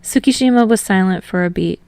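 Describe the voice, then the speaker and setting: A woman's voice: medium pitch, fairly quick, darkish tone, no echo. A woman reading scripted fiction as an audiobook narrator. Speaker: podfic narrator.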